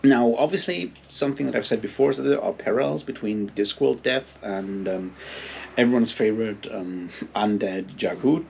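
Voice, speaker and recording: A man giving a casual, unscripted book commentary in a halting, rambling way, with plenty of filler phrases. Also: fairly low pitch, creaky, darkish tone, a little hiss or hum. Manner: halting, hesitant, rambling delivery with frequent hedging filler phrases. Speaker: book reviewer and readalong host.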